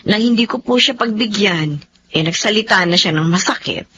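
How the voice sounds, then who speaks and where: A woman acting a principled, gently firm doctor in a Filipino radio drama, speaking earnestly and politely. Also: mid-range voice, moderate pace, close to an everyday voice. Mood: hurt. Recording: slightly noisy, dull radio-drama audio.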